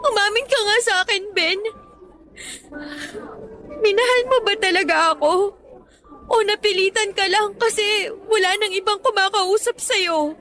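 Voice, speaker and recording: Actress as very high-voiced devoted young girlfriend. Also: bright, forceful tone. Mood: hurt, reproachful. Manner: emotional, earnest pleading. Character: Sabrina, devoted young girlfriend, pleading, stubbornly loyal. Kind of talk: Tagalog radio drama acting.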